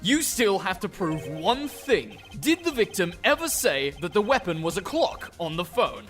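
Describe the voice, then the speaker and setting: A haughty male prosecutor from a game fandub, performed by an actor. The voice is bright and forceful, with big swings in intonation. Objections are pompous and emphatic, over faint music. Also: mid-range voice, sing-song, normal speed. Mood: smug. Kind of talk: video game fandub acting.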